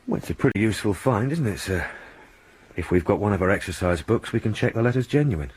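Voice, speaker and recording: Casting: actor as a brisk, polite sergeant and family man, with audible background noise. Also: fairly low pitch, lively intonation, a little mellow, close to an everyday voice. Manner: polite, plain-spoken. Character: earnest, down-to-earth male police sergeant and family man. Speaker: detective sergeant. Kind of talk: radio drama acting.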